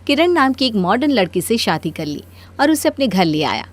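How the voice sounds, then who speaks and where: A woman's voice: fairly high pitch, big pitch swings, normal speed. A woman narrator reading a scripted Hindi cartoon moral story.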